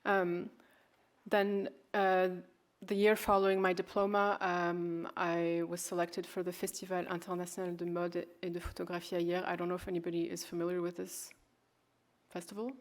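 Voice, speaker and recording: A woman's voice: fairly low pitch, monotone, normal speed. A woman in her thirties, who grew up in California, presents her work as an artist and fashion designer in a festival talk, speaking unhurriedly and hesitantly with many fillers and restarts.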